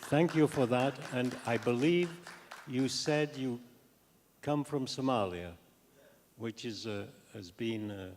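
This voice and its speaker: An older man, a professor, gives an academic talk and answers questions in a measured, halting, deliberate way. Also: mid-range voice, darkish tone, a little hiss or hum. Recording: echoey hall with long reverb.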